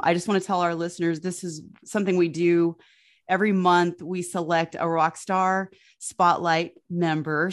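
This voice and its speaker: Gushing, enthusiastic woman podcast host. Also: fairly low pitch. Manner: effusive, enthusiastic. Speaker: podcast host and fitness coach. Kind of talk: upbeat podcast hosting.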